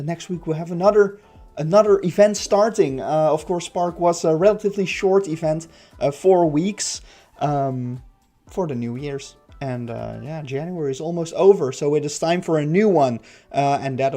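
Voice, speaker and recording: A man hosting a casual game livestream, raspy and stuffy-nosed, rambling with many fillers. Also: a little high, warm tone. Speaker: livestream host.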